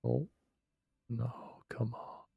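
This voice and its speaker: A young man rambling softly in casual late-night commentary over a game he is playing. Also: medium pitch, fairly even intonation, very slow, gravelly, breathy edge, no echo, whispered, narrow, tinny audio. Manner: soft-spoken, rambling, self-deprecating. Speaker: let's play creator. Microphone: close desk microphone, no webcam.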